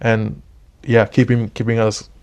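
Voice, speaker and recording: A man's voice: lowish voice, flat delivery, a little mellow, smooth. Man from Sri Lanka hosting a chat. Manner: encouraging, many quick agreeing fillers. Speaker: podcast host. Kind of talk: casual interview. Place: room at a dental service workplace.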